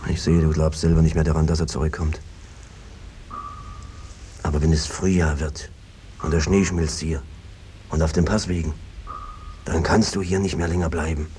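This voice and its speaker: An actor playing an evasive, henpecked peasant brother who talks around things in a cajoling, hesitant way, in a 1950s radio drama style. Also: male voice, very deep voice, level tone, moderate pace, pauses often, dark tone. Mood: evasive, uneasy. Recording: noisy old 1950s radio recording.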